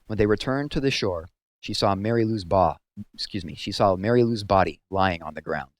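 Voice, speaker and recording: A man narrating a story aloud in a measured, serious storytelling manner. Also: fairly low pitch, no room sound.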